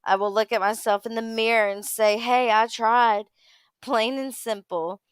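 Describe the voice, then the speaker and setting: Clear, smooth-voiced woman, dry close sound. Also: on the high side, fairly even intonation, measured pace, no room sound. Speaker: livestream panelist. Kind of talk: casual chat, reading posts aloud.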